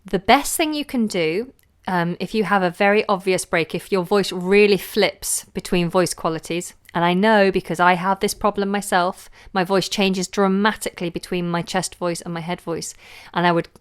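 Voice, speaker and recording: A woman vocal coach giving chatty live feedback, with a low voice and a lingering cold. She talks loosely, with fillers and lost words. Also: normal speed, clean tone. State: recovering from a cold. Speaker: singing teacher.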